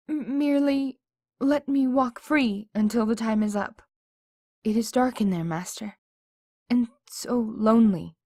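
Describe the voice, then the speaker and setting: Humble djinni mare, formal and imploring, fairly high voice, dry close sound. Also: female voice, no room sound. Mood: pleading. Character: pleading female djinni spirit, a servile mare. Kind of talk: fan audiobook voice acting.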